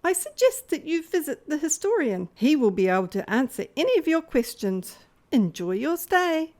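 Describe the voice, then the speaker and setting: A woman's voice: sits high, big pitch swings, moderate pace, darkish tone. A woman playing a young, polite and formal virtual greeter on a space station in an amateur radio play. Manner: formal, announcing.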